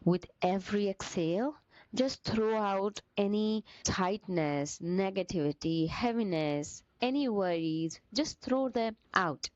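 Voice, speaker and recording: Slow-paced woman guiding meditation. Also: medium pitch, dry recording, lo-fi sound. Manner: gentle, soothing, deliberate phrasing with short pauses. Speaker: meditation teacher.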